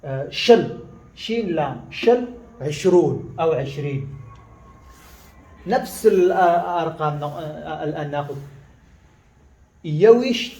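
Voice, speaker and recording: A man with a high voice teaches a casual lesson, stopping often with fillers and restarts and going back over each word slowly. His first language is Pashto. The room around him is echoey. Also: animated, warm tone. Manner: halting, with many fillers and restarts, repeating words slowly.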